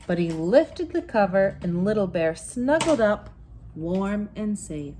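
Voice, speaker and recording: A woman's voice: mid-range voice, big pitch swings, slow, mellow. A woman in her thirties reading a children's picture book aloud at home, with dramatic emphasis on the sound words. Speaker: mother reading a bedtime story. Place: room at home, indoors. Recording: noisy room, home recording.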